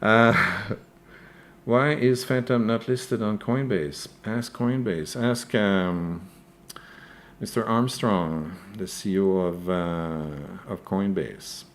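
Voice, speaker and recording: A man with a French accent hosts a live stream and answers viewer questions as they come in. His voice sits fairly low, and he speaks slowly and unhurriedly. He hesitates often and keeps checking in with "okay?". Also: slight background noise. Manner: hesitant, frequent "uh" and tag "okay?". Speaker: crypto news host.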